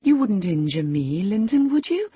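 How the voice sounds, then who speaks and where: A woman's voice: mid-range voice, big pitch swings, normal speed, mellow, slightly rough, no echo, voice pushed up. A woman voicing a spirited, impatient young lady in an audiobook, proud, emphatic and demanding. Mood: alarmed, earnest. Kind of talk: audiobook character acting.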